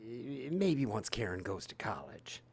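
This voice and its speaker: An actor playing a defensive, wistful middle-aged retired stage magician and husband in an audio drama, speaking haltingly. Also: male voice, mid-range voice, big pitch swings, gravelly, husky, full character voice.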